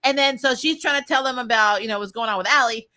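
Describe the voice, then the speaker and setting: Bright-voiced woman, casual and chatty. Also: fairly high pitch, fast. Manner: animated, chatty, slangy, blunt. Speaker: host of a TV recap show. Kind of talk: casual, opinionated commentary.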